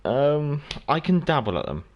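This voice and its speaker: A man's voice: on the high side, big pitch swings, normal speed, muffled recording. A South African man whose first language is Afrikaans, hosting a game livestream and chatting casually with viewers while he plays. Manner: chatty, reads out and answers viewers by name. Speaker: gaming livestream host. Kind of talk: casual livestream commentary while playing, answering chat.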